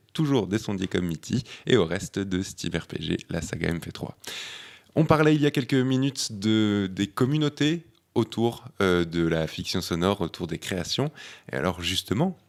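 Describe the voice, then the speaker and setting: Man, talk show host, low-pitched, creaky voice, live round-table presenting.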